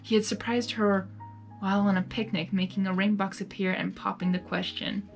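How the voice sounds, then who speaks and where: A woman hosting and narrating a live story reading in an expressive, giggly manner, reacting aloud as she goes. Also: medium pitch, moderate pace, gravelly, soft background music. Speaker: fan fiction reader and host.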